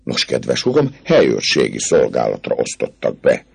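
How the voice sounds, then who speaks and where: A man's voice: extremely low pitch, wildly swooping pitch, vocal fry, darkish tone, husky, full character voice. A man voicing a boastful young army captain who hesitates and stammers through evasive answers.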